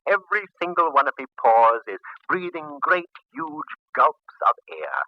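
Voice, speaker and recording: A precious, effusive gentleman friend in old radio drama. He speaks archly in a swooping, mid-range voice, recorded dull and dry. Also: a little high, wildly swooping pitch, unhurried, no echo. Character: fussy, theatrical, affectionate male photographer friend. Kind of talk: 1940s radio comedy-drama acting.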